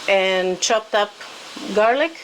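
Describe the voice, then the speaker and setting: A woman talks through a recipe in a relaxed, unhurried way and laughs easily. Her voice sits at a medium pitch. There is clear background noise in the home kitchen. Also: rough recording. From Brazil. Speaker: guest cook. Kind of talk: casual cooking chat.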